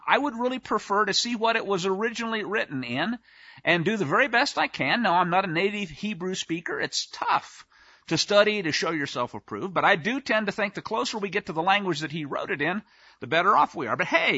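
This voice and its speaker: Male preacher, high lively pitch, folksy sarcastic teaching, dry close sound. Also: brisk, husky, no room sound, dull audio. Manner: folksy, conversational, sarcastic asides. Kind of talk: informal teaching sermon.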